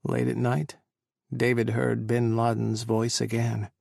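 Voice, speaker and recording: A man narrating an audiobook in a steady, measured storytelling voice on a close studio mic. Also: medium pitch, vocal fry, dry recording.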